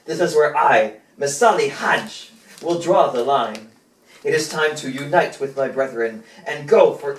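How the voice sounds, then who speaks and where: A man's voice: medium pitch, natural voice. Young man as resolute Algerian independence leader, echoey. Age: young adult. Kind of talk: amateur history puppet-show acting. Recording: echoey, noisy, distant amateur recording.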